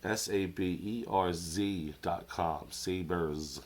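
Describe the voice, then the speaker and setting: A man's voice: sits low, takes it slowly. A man with a cold and a nasal voice, rambling through a casual show-and-tell product review at a desk. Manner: rambling, hesitant, many fillers and restarts. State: has a cold, sounds nasal. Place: at a desk under an overhead camera.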